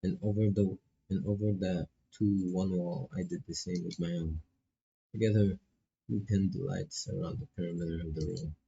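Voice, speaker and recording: A man with a very low, gravelly voice reads story narration aloud on a stream. His tone is mellow and husky. He often trips over words, repeats them and carries on. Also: steady tone, normal speed, dull audio, slight background noise. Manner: stumbles over words, repeats and corrects himself. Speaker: streamer reading a visual novel aloud. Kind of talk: live read-aloud of narration and dialogue.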